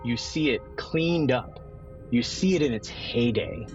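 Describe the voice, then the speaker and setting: Guy running a tabletop game, describing scenes with dramatic pauses, mid pitch, music playing under him. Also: animated, highs cut off. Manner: descriptive, pausing for dramatic effect. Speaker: game master. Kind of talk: narrating a tabletop roleplaying game. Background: music bed.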